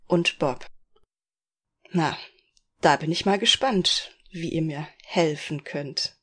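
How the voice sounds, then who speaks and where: A woman's voice: sits low, slow pace, a bit bright, husky, full character voice. A woman playing a polite middle-aged former actress in a detective radio play parody.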